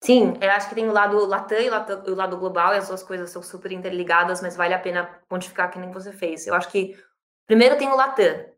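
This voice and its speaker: A Brazilian woman in her thirties, a startup founder answering interview questions with lively, quick speech full of fillers and restarts. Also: mid-range voice, reverberant. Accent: Brazilian Portuguese. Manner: fast, animated, many fillers and restarts.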